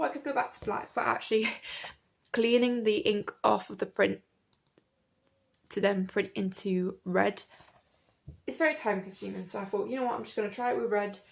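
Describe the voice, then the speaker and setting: A woman in her late twenties talks casually while she works, her voice gravelly and croaky from a cold. Her chat wanders and corrects itself mid-sentence, as if she is thinking aloud over her art. The pitch sits in a middle range for a woman. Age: late 20s. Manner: chatty, rambling, self-correcting. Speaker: artist and printmaker.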